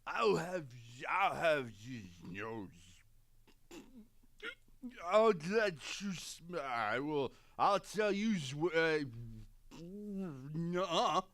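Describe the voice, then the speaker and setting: A man's voice: fairly high pitch, big pitch swings, extremely slow, creaky, long pauses, fairly bright tone, full character voice. A man voicing a drunk, blustering wannabe Sith Lord for an audio drama, slurring and stumbling boisterously over his words. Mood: muddled, indignant. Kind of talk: audio drama voice acting, multiple takes.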